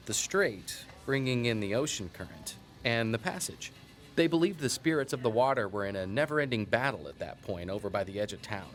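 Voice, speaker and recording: A man's voice: fairly low pitch, expressive, fairly quick, soft background music, close to an everyday voice. A man playing a chatty small-town historian in an audio drama, telling local lore in a folksy, wry, digressive way. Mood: absorbed storytelling. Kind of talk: conversational storytelling in an audio drama.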